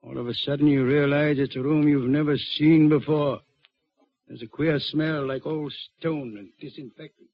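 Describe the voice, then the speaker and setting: An actor playing a huge, theatrical doctor of crime detection in an old radio drama, pompous and wheezy. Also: male voice, fairly high pitch, fairly even intonation, normal speed, no echo, muffled recording. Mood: hushed, dramatic. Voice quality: wheezy, chuckling. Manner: pompous, oratorical, emphatic. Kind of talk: old-time radio acting. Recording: band-limited old radio broadcast.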